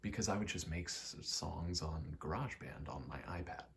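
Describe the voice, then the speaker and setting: Hushed, very low-voiced man rambling softly. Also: gravelly, slight background noise. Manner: hushed, soft-spoken, halting and reflective. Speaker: ASMR creator. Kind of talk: ASMR ramble, personal storytelling.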